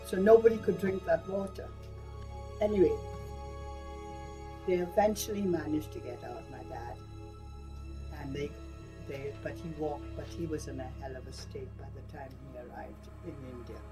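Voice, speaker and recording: A woman's voice: sits low, normal speed, long pauses, over music, poor audio. An older woman from Burma giving a personal reminiscence in a plain-spoken, emphatic way. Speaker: interviewee recalling wartime life.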